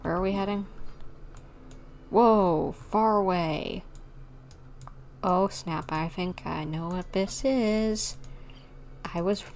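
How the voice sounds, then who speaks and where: Chatty woman streamer, slightly low voice. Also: slow pace, pauses often, darkish tone, a little hiss or hum. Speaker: game streamer. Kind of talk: casual livestream gameplay commentary, reading dialogue choices aloud.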